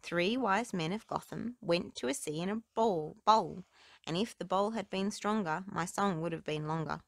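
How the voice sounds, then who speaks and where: A woman's voice: fairly low pitch, moderate pace, gravelly, no echo. A woman narrating children's stories and rhymes aloud as a storybook reader, recorded close up indoors.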